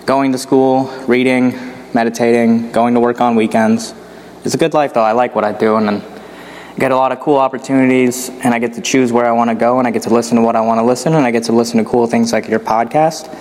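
A man's voice: mid-range voice, brisk. Young man in a reverberant gym. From Northeast Ohio. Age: young adult. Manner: calm, earnest, flat delivery. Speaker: listener calling in.

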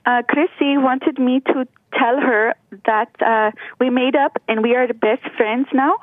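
A woman's voice: high-pitched, fairly even intonation, measured pace, dry recording. A woman from California calling in to a show, chatty and rambling, giggling as she talks over a phone line. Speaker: caller. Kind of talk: casual phone-in chat. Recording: tinny phone line, dry.

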